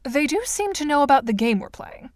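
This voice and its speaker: A woman playing a composed, articulate and skeptical teenage girl in an audio drama, dry and measured. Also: high voice, very animated, noisy background. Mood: dry concern.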